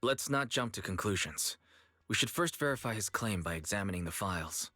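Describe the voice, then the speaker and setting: Calm young male game investigator: lowish voice, polite, measured, soft music bed. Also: dry recording, studio quality. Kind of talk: video game story dialogue acting.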